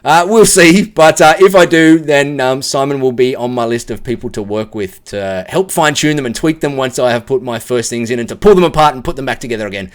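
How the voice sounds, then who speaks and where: A man's voice: medium pitch, brisk, few pauses. An Australian man giving a casual, candid spoken testimonial, chatty and unpolished. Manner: candid and chatty, many fillers and restarts.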